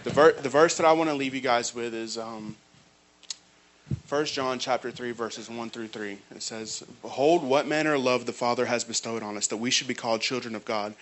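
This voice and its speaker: A man's voice: medium pitch, fairly even intonation, normal speed, low-quality recording. A man giving an earnest personal testimony and reading scripture, stumbling on restarts now and then. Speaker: ministry program resident giving testimony.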